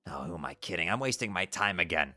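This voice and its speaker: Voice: low-pitched, lively intonation, fast talker, sharp tone, soft background music, voice pushed down. A performer voicing an irritable young male alien troll who is curt and mock-polite.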